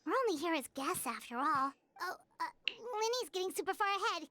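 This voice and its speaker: Squeaky, excitable little fairy girl. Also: fairly quick, heavily acted. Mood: curious. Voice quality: squeaky, childlike. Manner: bubbly, refers to self in third person. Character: tiny excitable floating fairy girl companion. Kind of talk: anime game voice acting.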